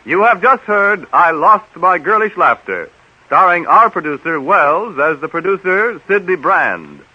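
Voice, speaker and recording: A man's voice: high voice, animated, a little slow, over music. A man announcing in polished, persuasive 1930s radio style. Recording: noisy muffled 1930s radio broadcast recording.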